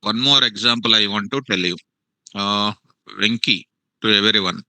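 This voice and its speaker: A male programming teacher, giving a live lecture to students in a classroom and online, repeating phrases slowly for dictation. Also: fairly low pitch, bright, forceful tone, dry recording. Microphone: online meeting.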